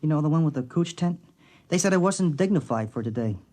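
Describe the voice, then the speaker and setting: Guy doing a breezy kid from an old 50s radio show, slangy, irreverent, voice a bit high. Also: animated, brisk, a little mellow, natural voice. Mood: curious. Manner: casual, slangy. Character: breezy, irreverent small-town boy. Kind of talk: 1950s radio acting. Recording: restored vintage radio recording.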